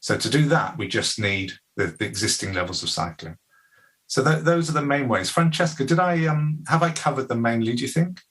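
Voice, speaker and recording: A man presenting at an online masterclass, answering a question in a measured, explanatory way with frequent hesitations. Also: mid-range voice, animated, fairly bright tone. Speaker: presenter of a tool.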